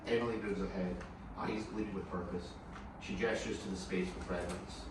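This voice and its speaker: Very low-voiced man narrating, echoey. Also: fairly even intonation, brisk. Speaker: narrator reading stage directions. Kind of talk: scripted screenplay table read. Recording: distant, echoey room with clear noise.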